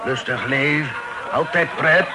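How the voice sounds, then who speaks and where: An actor playing an oily, wheedling pirate recruiter in a radio play, speaking broken Dutch. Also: male voice, on the high side, slow. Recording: very noisy old radio recording.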